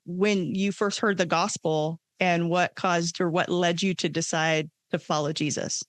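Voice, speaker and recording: Clear-voiced woman hosting a podcast interview. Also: fairly low pitch, fairly even intonation, unhurried, dry recording. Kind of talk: conversational interview.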